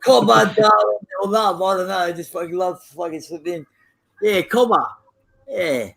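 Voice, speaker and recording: A high-pitched Australian man with a gravelly, husky voice. He teases crudely and swears constantly in casual chat. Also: normal speed, a crowd in the background. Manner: crude, teasing, heavy swearing.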